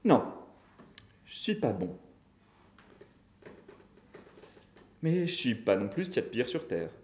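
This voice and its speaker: A man's voice: medium pitch, very animated, fairly quick, darkish tone, roomy sound, lightly acted. A man reading a story aloud as a polite, brooding outlaw who talks in a courteous, plain, folksy way. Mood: calm, courteous. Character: polite, brooding adult male outlaw killer.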